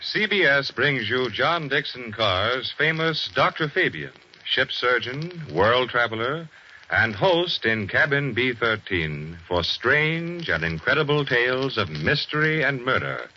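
A man's voice: medium pitch, big pitch swings, unhurried, slight background noise. A man announcing a 1940s radio drama in a formal manner, on a dull old broadcast. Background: loud music.

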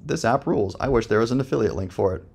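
A man with a gravelly voice, casually reviewing software while thinking aloud in a slangy, joking, laid-back way. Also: medium pitch, expressive, racing.

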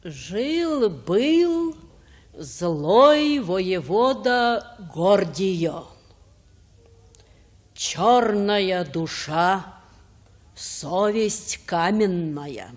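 Elderly grandmother, gentle, folksy, sing-song folk tale delivery, drawn-out pace, radio drama. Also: mid-range voice. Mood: solemn storytelling. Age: older. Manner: measured, chanting, folksy. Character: gentle old Russian grandmother telling folk tales. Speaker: storyteller.

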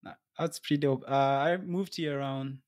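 A man's voice: medium pitch, fairly even intonation, moderate pace, a little mellow, dry recording, studio quality. Laid-back young man hosting a podcast. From Ethiopia. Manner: laid-back, laughs often, slang. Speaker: podcast host. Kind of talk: casual interview. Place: small room indoors. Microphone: studio mic on desk.

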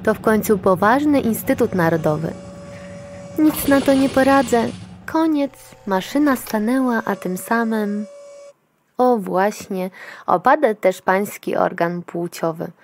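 A woman's voice: medium pitch, expressive, unhurried, a little hiss or hum. A woman acting in a dystopian radio play as an officious, curt institute clerk with a formal, admonishing manner. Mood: stern, dismissive.

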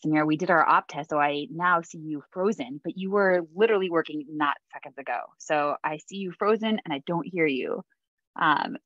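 Woman host, low voice, chatty, dull call audio. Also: a bit bright, dry recording. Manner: chatty, many fillers, laughs easily. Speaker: host of a Navy mentoring video call. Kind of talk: casual hosting on a video call. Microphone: video call.

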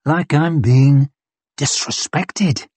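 A man voicing a grim, bearded male warrior in an audiobook, speaking quietly and deliberately with slow menace. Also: fairly high pitch, slightly rough, no echo, full character voice. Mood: quietly menacing. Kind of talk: audiobook character dialogue.

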